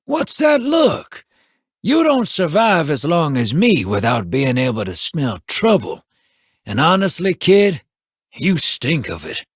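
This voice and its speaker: A seasoned, streetwise veteran in a sci-fi game, teasing and unhurried, his husky voice swinging up and down. The line is recorded dry and close, with no room around it. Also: fairly high pitch, huge pitch swings, no room sound, full character voice. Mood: wry. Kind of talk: video game dialogue.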